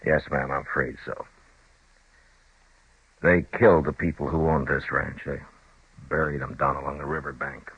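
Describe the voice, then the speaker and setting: An actor playing a steady, grim frontier marshal on a vintage radio western, speaking in a calm, clipped drawl. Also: male voice, extremely low pitch, normal speed, long pauses, breathy edge, dry recording, full character voice. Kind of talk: 1950s radio western acting. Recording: muffled old radio broadcast with hiss.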